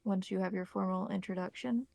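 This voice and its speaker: Woman acting as secretive vampire elder, creaky, formal, careful wording, tabletop roleplay, dry sound. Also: medium pitch, steady tone, a little fast, darkish tone, no echo, natural voice. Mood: measured, secretive.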